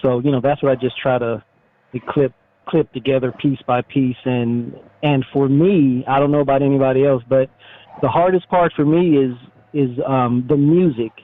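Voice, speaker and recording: A man's voice: medium pitch, steady tone, normal speed, dry recording. A middle-aged American man giving a casual, easygoing interview over the phone, speaking informally. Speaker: interviewed motorcycle YouTuber, retired military. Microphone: phone call. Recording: muffled narrowband phone line.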